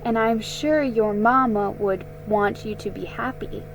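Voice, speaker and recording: A woman voicing a kindly aunt from a storybook, gently reassuring and measured. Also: medium pitch, faint music behind, lightly acted, low-quality recording.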